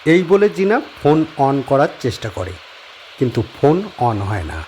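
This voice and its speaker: Guy reading a spooky story, steady measured voice, bit of ambience. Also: medium pitch, a little hiss or hum. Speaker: narrator. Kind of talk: scripted horror story narration.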